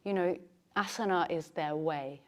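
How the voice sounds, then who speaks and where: A woman's voice: fairly low pitch, moderate pace, darkish tone. A woman teaching yoga teacher trainees, talking in a chatty way and laughing often. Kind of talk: teaching a class.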